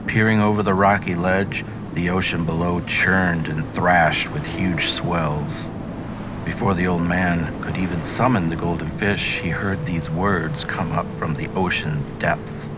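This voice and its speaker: A man's voice: low-pitched, fairly even intonation, a little slow, very noisy. A man reading a fairy tale aloud as a storyteller, in scripted narration.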